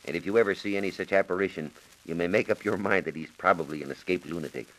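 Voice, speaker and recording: An American man giving measured, precise instructions as a science reporter on a scripted 1940s radio program. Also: sits low, a bit bright, rough recording. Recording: 1940s radio broadcast recording.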